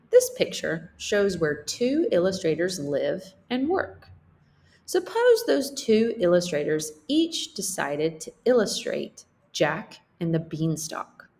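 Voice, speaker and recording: A woman's voice: lowish voice, a little mellow, slight background noise. A woman teacher reading a picture book aloud as a lesson for children, explaining things simply and checking in with questions.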